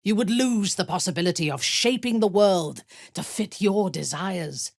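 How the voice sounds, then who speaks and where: An actress playing a haughty, ruthless female dark elf warlord in a video game, imperious and formal, with a breathy, husky voice. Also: mid-range voice, animated, no room sound, studio quality. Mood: grave, commanding. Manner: imperious, formal, deliberate, coldly menacing. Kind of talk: video game character dialogue.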